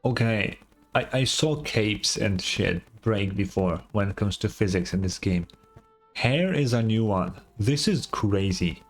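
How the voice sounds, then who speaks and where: A man's voice: lowish voice, animated, measured pace, faint music behind. A man giving casual, incredulous, sweary reaction commentary as a game streamer.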